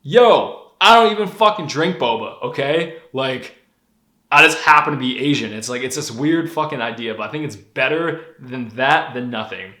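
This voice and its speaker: A man's voice: mid-range voice, moderate pace. A young man who grew up in Colorado, talking candidly and with plenty of profanity in a casual vlog monologue. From Denver, Colorado. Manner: candid, profane, animated storytelling. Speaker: vlogger. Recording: echoey room.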